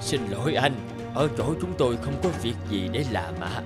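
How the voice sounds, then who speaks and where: A man's voice: mid-range voice, very animated, moderate pace, lightly acted. An actor playing a sly male master of a hellish palace in a cartoon folk tale, with courteous, theatrical delivery. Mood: polite refusal. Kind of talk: animated folk tale dubbing. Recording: loud music behind the voice.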